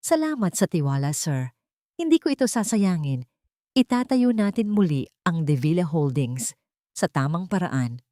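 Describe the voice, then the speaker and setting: A woman's voice: fairly low pitch, sing-song, moderate pace, dark tone, dry recording, clean studio sound. Narrator as a respectful, determined female attorney. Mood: grateful, resolute. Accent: Filipino. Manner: respectful, earnest.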